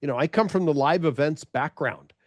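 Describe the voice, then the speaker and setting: Chatty American podcast host. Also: male voice, fairly high pitch, a little fast, no room sound, studio quality. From United States. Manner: chatty and rambling, with frequent restarts. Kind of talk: casual interview chat.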